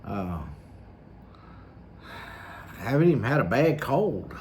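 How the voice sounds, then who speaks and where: A man's voice: medium pitch, very animated, slow, darkish tone. A man with a slightly scratchy throat, rambling folksily in a casual vlog with lots of fillers. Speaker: vlogger. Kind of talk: casual rambling monologue to camera.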